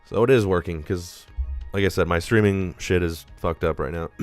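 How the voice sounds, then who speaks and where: A man's voice: low-pitched, normal speed, creaky, soft background music. A man hosting a live movie-review stream, talking casually and rambling, a little nasal from being sick. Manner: rambling, casual, swears freely.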